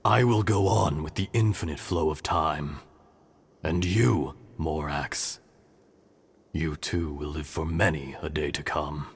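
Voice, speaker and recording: Very deep, slow, ancient dragon. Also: male voice, slightly rough, exaggerated voice. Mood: grave, resigned. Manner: slow, solemn, poetic delivery. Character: ancient weary male earth dragon, solemn and fatalistic. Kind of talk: dramatic video game voice acting.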